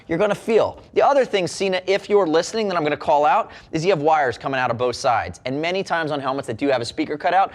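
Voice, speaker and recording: Fast-talking man presenting a product review. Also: fairly high pitch. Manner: salesman-like, fluent, casual and direct. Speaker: product reviewer, show host.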